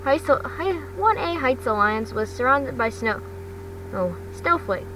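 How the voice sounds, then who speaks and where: A woman's voice: sits high, animated, takes it slowly. A woman reading fan fiction aloud in an amateur storybook style, often stumbling and repeating words. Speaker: fan fiction podcast reader. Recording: noisy home recording, distant mic.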